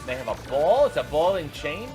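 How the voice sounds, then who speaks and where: Man, fairly high voice, sarcastic reaction host, exasperated off-the-cuff commentary, clear music playing behind him. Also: sing-song, normal speed. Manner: exasperated, sarcastic, incredulous riffing. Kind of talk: unscripted running commentary reacting to video clips.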